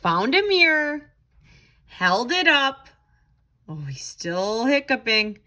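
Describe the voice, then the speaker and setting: High, animated woman reading to children. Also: takes it slowly. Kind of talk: expressive read-aloud and craft lesson for children.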